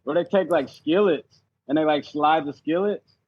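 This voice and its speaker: A man's voice: fairly high pitch, normal speed, husky, no room sound. A man chatting casually as a podcast guest, slangy and informal, on slightly muffled audio. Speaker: podcast guest, cornhole player. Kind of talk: casual chat. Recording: slightly dull, muffled sound.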